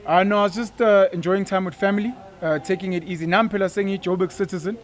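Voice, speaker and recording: A South African man from KwaZulu-Natal, a podcast guest, speaking in a calm, earnest way. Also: high-pitched, quick pace. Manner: calm and earnest, many short affirmations. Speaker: podcast guest, life coach. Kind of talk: casual podcast chat.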